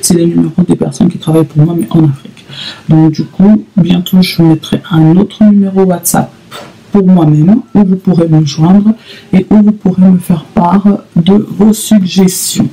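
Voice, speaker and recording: A woman in her thirties talking casually to camera in a vlog, halting with many fillers and repeats. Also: lowish voice, steady tone, dark tone, husky, low-quality recording. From Congo (DRC). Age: 30s. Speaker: vlogger.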